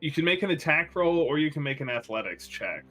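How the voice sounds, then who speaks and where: A man's voice: a little high, expressive, fairly quick, sharp tone, soft background music. A man narrating a tabletop game as game master, conversational and descriptive with a playful streak. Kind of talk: improvised tabletop game narration among friends.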